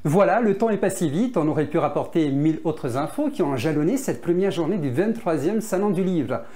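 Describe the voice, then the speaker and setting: A man's voice: fairly high pitch, lively intonation, fairly quick, mellow, faint music behind. A man in his thirties presenting a magazine show to camera in a scripted style. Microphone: lapel mic.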